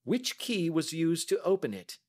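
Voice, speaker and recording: A man voicing a brisk, astute Victorian gentleman detective with crisp, courteous questioning, in audiobook style. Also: on the high side, big pitch swings, pitched above the performer's own voice. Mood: businesslike. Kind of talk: audiobook character acting.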